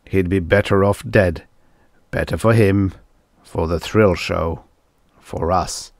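A domineering producer in a dark audio story, voiced by a male narrator. He is grim and coldly calculating, with a lowish, solemn, harsh delivery in a dry room. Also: steady tone, slow pace, no room sound. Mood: solemn, scheming.

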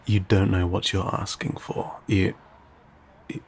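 Man playing an ancient gravelly vampire, slow and low. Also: level tone, exaggerated voice. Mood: cautioning, stern. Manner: measured, hesitant, formal and old-fashioned diction. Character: ancient, courteous, guarded male vampire living in solitude. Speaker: audio roleplay voice actor. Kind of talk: intimate audio roleplay acting.

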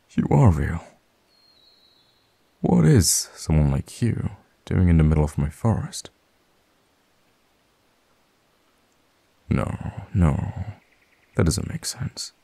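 A man playing a mysterious, outwardly friendly vampire hermit in an ASMR audio roleplay, talking in a halting, softly teasing way with quiet menace. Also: extremely low pitch, gravelly, breathy edge, dry recording, heavily acted. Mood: hesitant, wondering. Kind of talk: ASMR audio roleplay, intimate in-character monologue.

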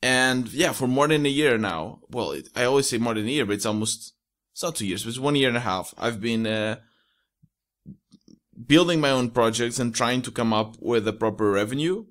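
Young male podcast guest, Portuguese accent, mid-pitched voice, casual conversational delivery, close mic. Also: lively intonation, moderate pace, a bit bright. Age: young adult. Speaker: podcast guest, indie entrepreneur. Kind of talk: casual interview chat. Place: small room at home. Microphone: close studio mic with pop filter.

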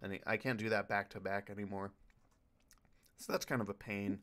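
A man's voice: on the low side, steady tone, brisk, fairly bright tone. A man who voice acts, chatting casually and loosely as a panelist at a Q&A. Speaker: voice actor panelist.

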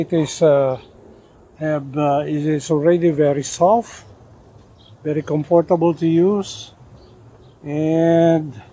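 An older man showing off his denim jacket outdoors, talking casually with lots of pauses and "uh" fillers. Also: fairly high pitch, dark tone. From Philippines. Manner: unhurried, many "uh" fillers and restarts. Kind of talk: casual show-and-tell. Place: outdoors in a leafy garden.

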